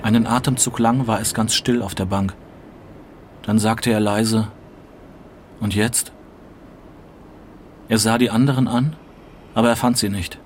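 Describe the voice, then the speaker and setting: A man's voice: fairly low pitch, fairly even intonation, a little slow, pauses often. A man reading a literary story aloud. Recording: noisy ambience behind reading.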